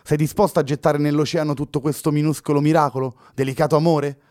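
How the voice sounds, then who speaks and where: A man puts on a passionate young woman in a storybook reading, his voice lifted well above his own and loud with feeling. She pleads fervently and defiantly. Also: fairly high pitch, flat delivery, quick pace, no room sound, clean studio sound, voice pushed up, lightly acted. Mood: pleading. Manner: fervent, raised emotional pleading.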